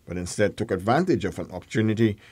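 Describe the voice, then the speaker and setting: A man's voice: fairly low pitch, expressive, fast, darkish tone, a little hiss or hum. A man reading scripted narration for a news feature.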